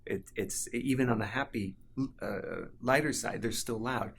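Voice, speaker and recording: A man's voice: mid-range voice, measured pace, vocal fry, a little hiss or hum. A man giving a reflective, explanatory interview as a composer talking about his music.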